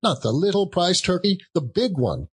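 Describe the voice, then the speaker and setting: A man playing a giddy, reformed old gentleman in an audiobook, exclamatory and effusive, given to chuckling. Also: high-pitched, big pitch swings, moderate pace, breathy edge, pitched above the performer's own voice, exaggerated voice. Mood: giddy delight.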